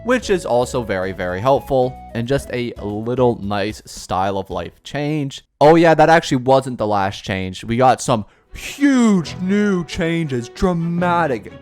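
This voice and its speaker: A man of middle pitch talks casually on his gaming channel, reading a developer update and joking about himself. His manner is chatty and loose. Faint music plays under his voice. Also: a little slow, hardly any pauses. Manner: self-deprecating, chatty, mock-excited cheers.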